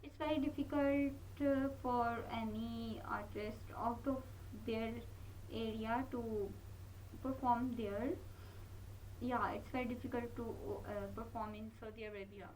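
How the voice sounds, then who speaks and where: A woman reacts to a video in an earnest, halting way, full of fillers and restarts. Her voice sits a touch high and comes from some distance off the mic, with a faint hum of room noise behind her. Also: steady tone, a little mellow. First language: not Korean. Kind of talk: casual spoken reaction.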